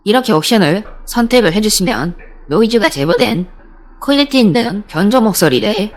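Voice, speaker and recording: Converted voice-changer character, performed by a man, very lively intonation, polite scripted tutorial demo. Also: sits high, moderate pace, voice pushed up. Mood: polite demonstration. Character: voice-changer model voice demonstrating a tutorial.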